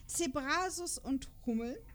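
A woman's voice: sits high, lively intonation, slow pace, a bit bright. A woman hosting a podcast in casual, chatty conversation, jokey and partly going through notes. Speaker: podcast host.

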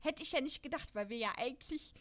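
A woman's voice: sits high, fast, a bit bright. A woman hosting a casual podcast chat about radio dramas, chatty and laughing often as she talks. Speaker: podcast host.

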